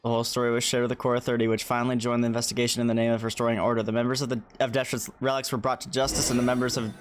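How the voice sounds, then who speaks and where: A man's voice: medium pitch, level tone, racing. A man livestreaming a video game, reacting and commenting in a casual, chatty way. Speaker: game streamer. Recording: game music behind the voice.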